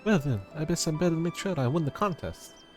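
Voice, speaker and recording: A man's voice: on the high side, lively intonation, brisk, lightly acted. A man voicing a plucky, determined young woman who seeks a throne, reading fantasy game dialogue aloud. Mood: resolute. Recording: loud music under the voice.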